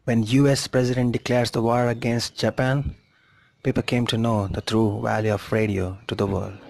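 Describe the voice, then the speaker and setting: A man's voice: medium pitch, little pitch movement, moderate pace, creaky, darkish tone, slight background noise. A man reading a scripted history narration as a documentary narrator.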